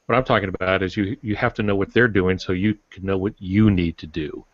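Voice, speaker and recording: A man's voice: low-pitched, steady tone, fairly quick, a bit bright, no room sound. An older man co-hosting a podcast, giving casual, explanatory advice. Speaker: podcast co-host, writer and teacher. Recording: dull, dry lo-fi audio.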